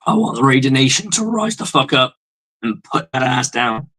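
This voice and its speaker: A male host with a hoarse voice, giving a blunt, profane, rambling fan's reaction in a casual sports monologue. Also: mid-range voice, sing-song, moderate pace, fairly bright tone.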